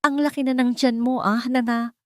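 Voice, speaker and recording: A woman voicing a nosy, judgmental female village captain in an audio drama, sharp and prying with insinuating questions. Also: a little high, steady tone, moderate pace, no room sound, clean studio sound, voice pushed up, close to an everyday voice.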